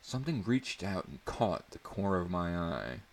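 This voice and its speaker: A man's voice: low voice, very animated, normal speed. A man reading a story aloud as narrator in a dramatic audiobook style.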